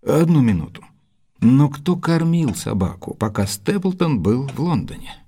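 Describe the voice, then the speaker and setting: A man's voice: mid-range voice, big pitch swings, a little slow, warm tone, breathy edge, heavily acted. An actor playing a curious, attentive male companion of a detective in an audio drama, speaking inquisitively.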